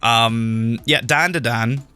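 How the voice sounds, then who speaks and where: A man's voice: mid-range voice, slow, sharp tone, clean tone, soft background music. A man co-hosting a podcast, his voice still recovering after losing it, speaking in a self-conscious, laughing way. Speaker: podcast co-host. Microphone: new microphone.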